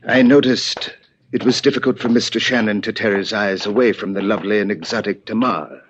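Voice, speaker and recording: A man's voice: fairly low pitch, fairly quick, slight background noise, close to an everyday voice. An actor playing an urbane, sly, insinuating male dealer in a 1950s radio drama, speaking with precise formality. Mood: oily, courteous. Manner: precise, formal, pompous politeness. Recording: muffled old radio recording.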